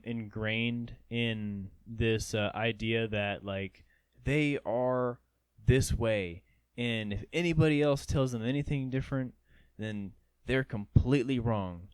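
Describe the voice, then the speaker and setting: Young guy rambling on a podcast, lots of restarts, smooth voice, dry room. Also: medium pitch, a little mellow, no echo. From North Georgia. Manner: rambling, many restarts and fillers. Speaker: podcast co-host. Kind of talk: casual chat.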